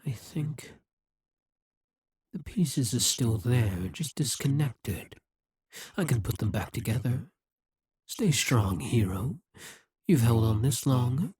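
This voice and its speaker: A scheming demon king, speaking in a breathy, husky hush. He takes his time, slow and deliberate, each word pressed close in a dry, dead room. Possessive and intense, he leans right into the listener's ear. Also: medium pitch, expressive, no echo, full character voice. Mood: musing. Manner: slow, measured, intimate delivery. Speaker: voice actor. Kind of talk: audio roleplay acting.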